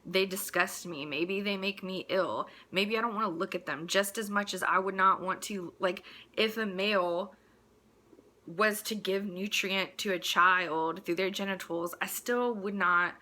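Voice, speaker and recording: A woman's voice: medium pitch, fairly even intonation, unhurried, sharp tone. A woman in a casual, opinionated rant, speaking in a blunt, crude and exasperated manner with mocking asides. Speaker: host delivering a personal rant.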